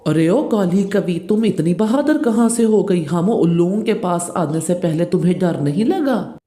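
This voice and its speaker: एक महिला की आवाज़ में धमकाता, साज़िशी उल्लू, दबी गहरी आवाज़. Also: female voice, on the low side, expressive, normal speed, dark tone, lightly acted. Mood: gruff challenge. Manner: menacing, conspiratorial. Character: scheming, threatening owl gang plotting to kill. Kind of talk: Hindi children's cartoon dubbing.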